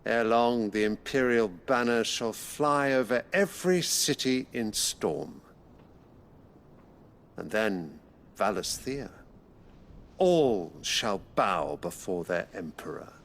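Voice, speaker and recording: A man's voice: on the low side, very animated, slow pace, fairly bright tone, slight background noise, heavily acted. A man playing an imperious, zealous older emperor and father in a video game, speaking in grand, pompous oratory. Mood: grandiose, commanding. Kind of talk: dramatic video game cutscene acting.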